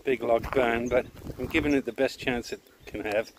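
A man's voice: medium pitch, moderate pace, background noise. A middle-aged man with an Australian accent, chatting casually to a handheld camera while camping by a lake. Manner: relaxed, chatty, easygoing. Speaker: vlogger. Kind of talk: casual travel vlog narration. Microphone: handheld camera at arm's length.

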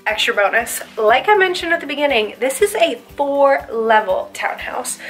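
A thirties American woman talks casually to camera as a real estate agent. Her voice is on the high side and very bright, with a crisp forceful edge. She is chatty and enthusiastic, and music plays behind her. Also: low-quality recording. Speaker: real estate agent hosting a house tour. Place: inside a furnished townhouse.

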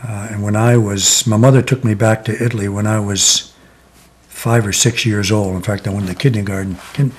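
An older man in his seventies from Connecticut, reminiscing slowly in a sit-down oral history interview, with many pauses and "uh" fillers. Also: on the low side, fairly even intonation, husky. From Connecticut, USA. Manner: slow, reflective, many "uh" pauses. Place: living room, seated in armchair.

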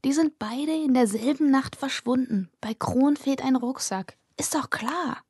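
A woman's voice: high-pitched, measured pace, darkish tone, husky, no room sound, pitched above the performer's own voice, heavily acted. An eager teenage girl as a woman narrator plays her, sharing secrets in an audio story. Her voice is raised and girlish, conspiratorial and dramatic, recorded close and dry. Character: teenage girl, gossipy, breathless whispering friend.

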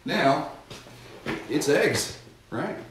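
A man's voice: a little high, lively intonation, extremely slow. A middle-aged man gives a folksy, instructive cooking demonstration with safety tips, speaking to kids and parents in a home kitchen. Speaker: fire safety educator. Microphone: camera mic from across the room. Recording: echoey kitchen, mic far off, audible noise.

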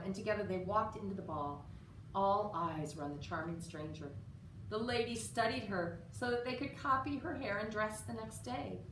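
A middle-aged woman reading a children's picture book aloud in an expressive, measured storytelling style. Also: mid-range voice. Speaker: storyteller at a library. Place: indoor room with story sign. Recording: roomy, distant mic, faint room noise.

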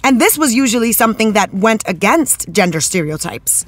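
A woman's voice: on the high side, very animated, moderate pace, dry recording. A woman playing an earnest, thoughtful TV actress in an interview, searching for her words in a conversational way. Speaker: interviewed actress, after-show guest.

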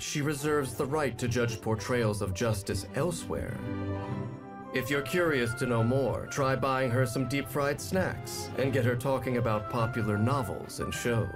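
Man, scripted game narration, polished and measured, prominent music underneath. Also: mid-range voice. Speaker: video narrator. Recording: loud music behind voice. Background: game trailer music.

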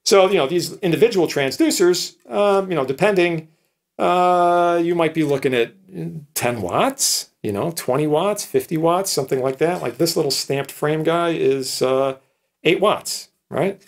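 A man's voice: on the high side, a little slow. Man in his sixties giving an informal lecture. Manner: conversational, punctuated with tag questions like "right?". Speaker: professor, lecturer. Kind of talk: informal explanatory electronics lecture.

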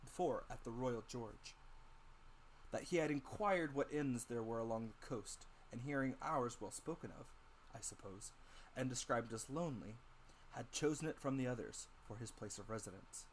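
A man's voice: mid-range voice, moderate pace. Male storybook narrator with noisy background. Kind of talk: dramatic audiobook narration.

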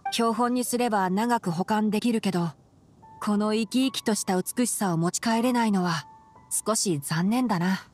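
A woman's voice: medium pitch, normal speed, lightly acted. Lady doing clever boyish botanist guy in a game, cool n dry, faint music behind. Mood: composed, wry. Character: clever, composed, dry-witted young male botanist. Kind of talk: Japanese game voice acting.

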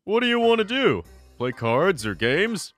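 A woman voicing a nosy, playful teenage school friend in a manga dub, teasing and expressive. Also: on the low side, measured pace, faint music behind.

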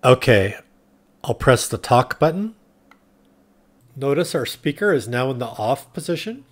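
A man's voice: medium pitch, big pitch swings, a little slow. A man narrating a tech tutorial in a plain, instructional, step-by-step way. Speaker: tutorial presenter.

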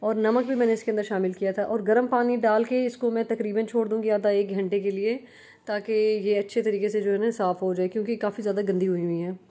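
A young adult woman chatting through a home cleaning vlog as a relaxed voice-over, full of fillers and restarts. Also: medium pitch, fast. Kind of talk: casual voice-over narration. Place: home kitchen.

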